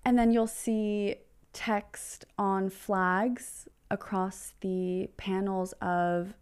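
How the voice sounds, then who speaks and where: A woman's voice: mid-range voice, level tone, extremely slow, darkish tone, clear, resonant tone. A woman gallery representative being interviewed, giving articulate, prepared explanations about art.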